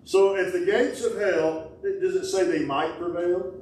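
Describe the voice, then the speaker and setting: A middle-aged man preaches in a folksy, call-and-response way, now and then breaking into loud bursts. His voice sits a little high for a man. He is heard from far off in a small, echoing church. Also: steady tone, measured pace, a little hiss or hum. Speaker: pastor. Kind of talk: sermon. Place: small wooden-walled church sanctuary. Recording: echoey church from a distance.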